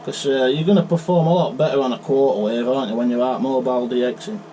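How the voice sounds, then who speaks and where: A man's voice: medium pitch, fairly even intonation, fast talker, warm tone, a little hiss or hum. A man with a Northern English accent, chatting casually as a radio hobbyist. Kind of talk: casual chat over CB radio.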